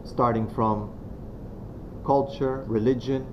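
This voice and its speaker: A man from Romania giving a religious talk to students as a guest speaker. He is soft-spoken and unhurried, and he pauses often and uses many fillers. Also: mid-range voice, steady tone. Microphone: venue microphone. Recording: dull lo-fi sound with clear background noise.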